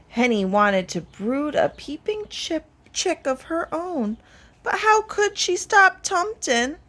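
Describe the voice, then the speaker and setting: A woman reading a children's story aloud, expressively, with deliberate dramatic pauses. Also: high voice, fairly bright tone. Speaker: storybook reader.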